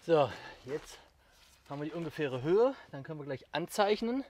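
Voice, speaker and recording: A man's voice: on the high side, big pitch swings, moderate pace, darkish tone, a little hiss or hum. Upbeat man vlogging from a forest. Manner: chatty, addresses viewers directly, upbeat. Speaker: survival vlogger. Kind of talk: casual outdoor vlog talking to viewers. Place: misty forest outdoors. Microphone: action camera mic at a distance.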